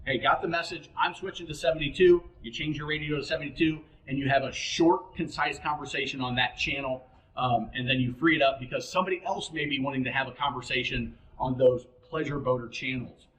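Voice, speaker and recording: A man's voice: medium pitch, brisk, fairly bright tone, echoey room, rough recording. A man giving informal, practical boating instruction, speaking plainly and directly to the listener as an instructor.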